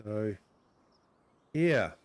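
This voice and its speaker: Croaky New Zealand man, unhurried and easygoing, streaming his gameplay. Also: fairly low pitch, sing-song, no room sound. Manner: easygoing, lots of ums and uhs. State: croaky, with a cold. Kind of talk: relaxed livestream gameplay commentary.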